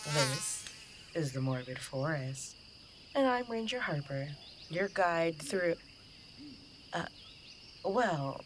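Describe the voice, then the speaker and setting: A woman playing a playful, eerie forest guide in an audio drama, talking theatrically with deliberate pauses. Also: low voice. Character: Ranger Harper, playful eerie forest guide welcoming visitors. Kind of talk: immersive audio drama narration. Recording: clear insect and cricket noise behind. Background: crickets and buzzing insects.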